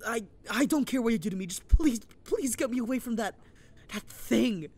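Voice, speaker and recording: A woman playing a captured male serial killer in a scripted audio roleplay, husky, evasive and dramatic. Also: a little high, lively intonation, normal speed, darkish tone. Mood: terrified, pleading.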